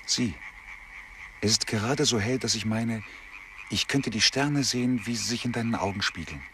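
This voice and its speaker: A man's voice: fairly low pitch, normal speed, close to an everyday voice. An actor playing an ardent, earnest man in love in a radio play. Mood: enraptured. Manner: romantic, earnest. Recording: noisy, loud croaking frogs behind.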